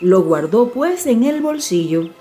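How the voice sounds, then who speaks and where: A woman storyteller reading a scripted fairy tale in an expressive, measured way. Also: medium pitch, loud music behind the voice. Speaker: audiobook storyteller.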